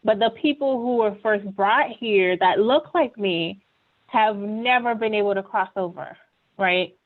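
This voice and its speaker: A woman speaks in an earnest, conversational way, often tagging her points with "right?". Her voice sits at an ordinary middle pitch. It comes through narrow, tinny video call audio. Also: a little slow. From Ghana. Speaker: webinar panelist. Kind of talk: conversational presentation.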